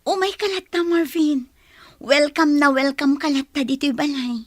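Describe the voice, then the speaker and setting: Woman acting a high-voiced lovesick, plaintive woman. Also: fairly even intonation, moderate pace, dry recording, clean studio sound, lightly acted. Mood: eager welcoming. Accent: Ilocano. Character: lovesick, heartbroken, naive woman clinging to a man. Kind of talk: Ilocano radio drama acting.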